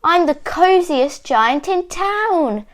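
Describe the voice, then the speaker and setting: Woman voicing a kind, excitable storybook giant, high and sing-song. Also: slow. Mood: delighted.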